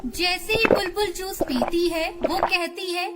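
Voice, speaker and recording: Very high-pitched woman narrating children's story. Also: steady tone, a little slow. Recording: music bed under narration. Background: background music.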